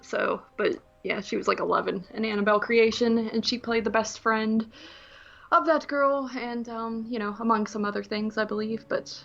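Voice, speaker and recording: A woman's voice: a little high, fairly even intonation, moderate pace, vocal fry, fairly bright tone, dull audio, soft background music. A woman co-hosting a movie podcast in casual, rambling conversation, hedging as she goes.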